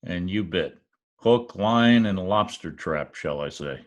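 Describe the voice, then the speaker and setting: Man acting a low-voiced, wry radio private eye. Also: slow pace. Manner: deadpan, dry quips. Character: wry, wisecracking male private detective. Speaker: actor in an amateur radio-play reenactment. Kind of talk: old-time radio detective drama acting. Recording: remote home recording over video call.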